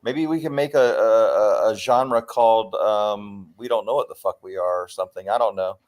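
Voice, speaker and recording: A man's voice: on the low side, normal speed, a little mellow. A man in his forties or fifties, a guest in a casual online group chat, laughing often and joking about himself. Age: 40s or 50s. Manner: laughing, self-deprecating.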